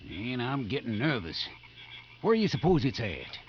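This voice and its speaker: An actor playing a nervous, hesitant convict in a 1950s radio drama. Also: male voice, medium pitch, wildly swooping pitch, moderate pace. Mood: uneasy. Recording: muffled, noisy 1950s radio recording.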